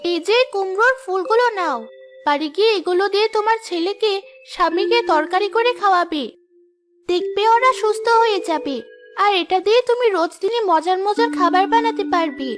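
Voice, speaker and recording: A woman voicing a tiny, gentle fairy mother in a cartoon fairy tale, soothing and pleading. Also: very high-pitched, fairly quick, soft background music. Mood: kindly. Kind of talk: Bengali cartoon voice acting.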